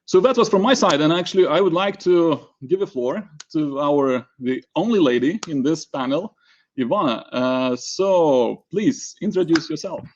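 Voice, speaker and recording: A man moderating a panel discussion informally, speaking in a halting, hesitant way. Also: on the high side, expressive, muffled recording.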